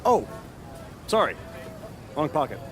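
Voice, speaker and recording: An actor playing a laid-back, goofy wrestler sidekick in a video game cutscene, casual and wry. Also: male voice, fairly high pitch, sing-song, slow pace, faint music behind, some chatter behind. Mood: upbeat.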